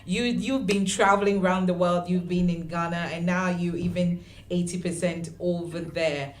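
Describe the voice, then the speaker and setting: A woman hosting a radio interview, eager and quick to praise her guest. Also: lowish voice, steady tone. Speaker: radio host. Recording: roomy echo.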